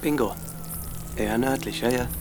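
An actor playing a guarded, defensive husband in a naturalistic radio drama, terse and matter-of-fact. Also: male voice, mid-range voice, normal speed, music in the background, lightly acted.

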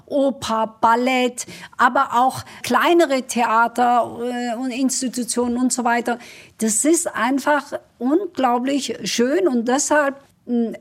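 Middle-aged woman, husky and earnest. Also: fairly high pitch. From Turkey. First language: Kurdish. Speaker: politician guest. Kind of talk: interview answers.

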